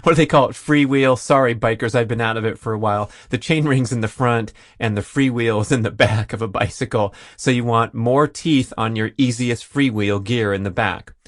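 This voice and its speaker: A man's voice: medium pitch, moderate pace, few pauses, no echo, clean studio sound. A man hosting a solo listener Q&A podcast, rambling and jokey with self-deprecating asides. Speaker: podcast host.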